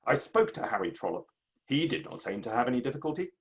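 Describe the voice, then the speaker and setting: A man's voice: medium pitch, big pitch swings, fast talker, lightly acted. A man in a dramatic play reading, playing a proud, aggrieved Victorian writer with a formal, emphatic delivery. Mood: indignant, scornful.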